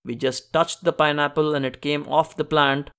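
A man's voice: a little high, level tone, normal speed, vocal fry, a bit bright, clean tone. A man hosting a gardening show, narrating an instructional tour in an enthusiastic, explanatory way. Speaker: host of a home gardening show.

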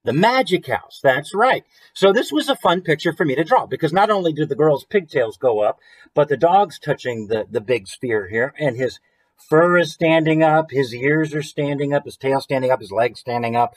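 Animated American man doing story time. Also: fairly high pitch, normal speed, low-quality recording. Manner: expressive, asks listeners questions. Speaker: children's author reading own book to viewers. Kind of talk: animated children's story time reading with chatty asides. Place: small room at home, indoors.

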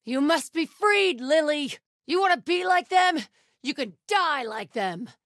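A fierce female cult warrior from a video game. Her voice is very high and bright, with slow, weighty delivery. She commands and accuses with a zealot's force. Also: lively intonation, no room sound, clean studio sound, exaggerated voice. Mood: vengeful, accusing. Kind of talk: video game combat barks.

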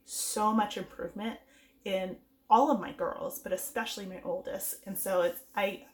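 A woman's voice: mid-range voice, fairly even intonation, moderate pace. A woman in her thirties, a homeschool mom getting over a cold, chatting casually and rambling with fillers while reviewing books at home.

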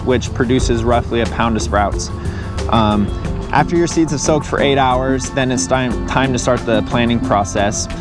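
Young male co-host, casual how-to delivery, outdoor sound, music underneath. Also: mid-range voice. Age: young adult. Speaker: vlog co-host. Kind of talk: casual step-by-step instruction. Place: outdoors in a park.

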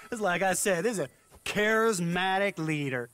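A man's voice: sits high, normal speed, fairly bright tone, faint music behind. An actor playing a sly rival gang boss in a Western game, mocking and needling as he talks. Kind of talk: game cutscene dialogue.

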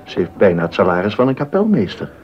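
Low-voiced, sardonic court gossip. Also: male voice, sing-song, fast talker, slight background noise. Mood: gossipy, confiding. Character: gossipy, sardonic male court musician and tutor. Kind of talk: Dutch-language period docudrama acting. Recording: dull, muffled audio.

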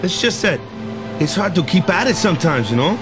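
Easygoing island coach, lively pitch. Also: male voice, a little fast. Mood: serious, reflective. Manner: colloquial island-style speech with tag questions. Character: easygoing, friendly young male island ball-team coach. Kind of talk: video game voice acting. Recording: music behind the voice.

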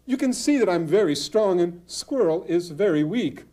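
A man's voice: high voice, animated, moderate pace, warm tone. A man voicing a big, strong leopard in a told story, speaking in a self-assured, matter-of-fact way. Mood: smug. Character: big strong hungry male leopard, smug.

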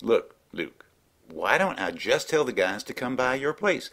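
A man's voice: medium pitch, measured pace, full character voice. A man playing an unflappable, obtuse and folksy rustic in an absurdist radio comedy. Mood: pedantic. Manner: folksy, deadpan wordplay. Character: obtuse, unflappable rustic country buddy, cheerfully pedantic.

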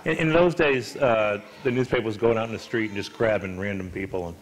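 An older man interviewing an author on stage, loud and chatty with joking asides. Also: on the low side, fairly quick, slight background noise, poor audio. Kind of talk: onstage conversation. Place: stage of a hall with an audience. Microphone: handheld mic.

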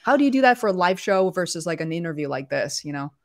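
A woman hosting a relaxed interview from a home studio, speaking into a desk microphone on a video call. Her voice sits a little low. She asks open questions and keeps her guest going with frequent encouraging murmurs. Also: quick pace. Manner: warm encouraging listener, many "mm-hmm" cues. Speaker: interview host. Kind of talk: casual interview chat.

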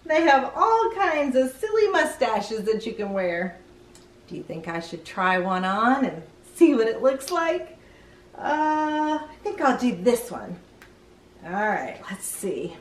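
Children's show host: middle-aged woman, very expressive, unhurried, playful, slightly roomy indoor sound. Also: on the high side, distant mic. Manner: exclamatory, playful, addresses the viewer with questions. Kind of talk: presenting to young children.

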